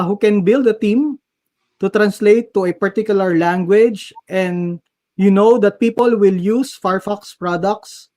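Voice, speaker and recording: A Filipino man with a notably high voice for a man, answering webinar questions with a Filipino accent. He talks haltingly, full of "uh"s, and drifts between English and Tagalog. It sounds like a video call. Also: a little mellow. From Philippines. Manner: hesitant, many fillers, mixes English and Tagalog. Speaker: guest speaker.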